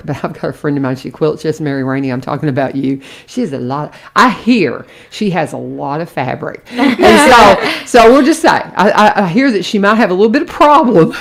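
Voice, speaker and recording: Woman, radio host, rambling chat, frequent restarts, slightly low voice. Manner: chatty, warm-hearted rambling with many restarts. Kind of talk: casual radio interview chat.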